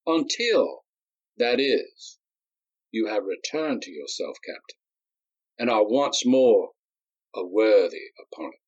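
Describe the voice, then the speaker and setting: A man voicing a courtly, mocking gentleman duelist who speaks with silky politeness in a storybook reading. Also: lowish voice, expressive, takes it slowly, long pauses, highs cut off. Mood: mockingly polite.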